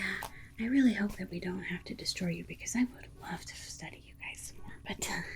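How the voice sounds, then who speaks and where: Woman playing a whispering, soothing alien scientist. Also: fairly high pitch. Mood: politely reassuring. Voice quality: breathy whisper. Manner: soothing, coaxing, hesitant asides. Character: alien scientist, polite, reassuring, breezily condescending toward humans. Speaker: ASMR roleplay performer. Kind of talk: whispered ASMR roleplay.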